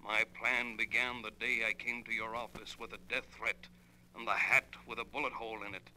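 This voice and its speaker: A man in a 1940s radio drama playing a coldly vengeful man, speaking deliberately and with menace. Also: on the low side, little pitch movement, sharp tone. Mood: cold, menacing. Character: vengeful dead man coldly reading out his plan. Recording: noisy, humming old radio recording.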